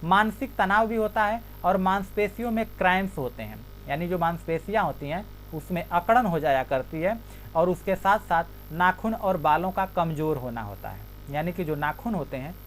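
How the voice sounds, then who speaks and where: A woman giving an explanatory health talk as an educator, restating each point in other words. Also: lowish voice. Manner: repeats each point with a rephrasing.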